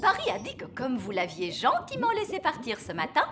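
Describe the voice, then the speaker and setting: A woman voicing a bossy, excitable nanny fairy in a children's cartoon, with tinkling music behind her. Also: on the high side, fairly quick, exaggerated voice. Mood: brisk. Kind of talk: animated children's cartoon dubbing.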